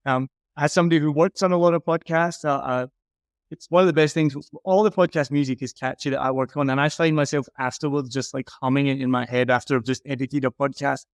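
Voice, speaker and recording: A man speaks with a clear, resonant voice at a middle pitch. He delivers candid, emphatic critique in a conversational way, like a consultant talking a client through improvements. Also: steady tone, fast, no room sound, clean studio sound. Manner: frank, emphatic. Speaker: podcast audio consultant and reviewer.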